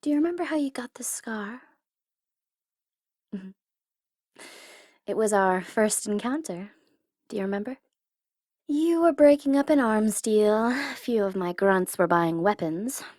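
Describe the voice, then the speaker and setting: A woman's voice: mid-range voice, lively intonation, a little slow, pauses often, no echo, clean studio sound. Woman acting a swooping, taunting tsundere supervillainess. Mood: gloating. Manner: taunting and condescending, slipping into flustered softness and whispers. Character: teasing, tsundere female supervillain flustered by her captive hero. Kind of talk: ASMR audio roleplay acting.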